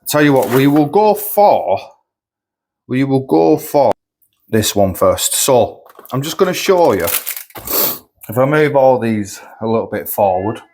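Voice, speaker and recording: A man's voice: mid-range voice, very animated, takes it slowly, a little mellow, a little hiss or hum. A man reviewing supermarket food at home, chatty and rambling, sniffly with a cold coming on. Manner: chatty, rambling, repeats himself. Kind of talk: casual food review vlog. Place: home kitchen.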